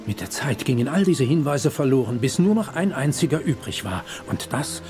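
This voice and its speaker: An actor playing an old grandfather, telling treasure legends solemnly with hushed, dramatic pauses in dubbed film dialogue. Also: medium pitch, very animated, over music, close to an everyday voice. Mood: solemn, mysterious. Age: older.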